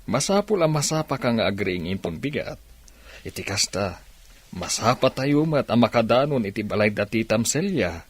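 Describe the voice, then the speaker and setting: An actor playing a caring middle-aged father with a husky voice in a radio drama. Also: on the low side, expressive, normal speed. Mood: gentle, fatherly.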